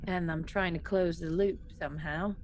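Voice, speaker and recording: A woman's voice: fairly low pitch, steady tone, moderate pace, a little mellow, muffled recording, a little hiss or hum. A woman playing a tabletop role-playing game, chatting casually and thinking aloud in a hesitant way. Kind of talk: casual, improvised game chat.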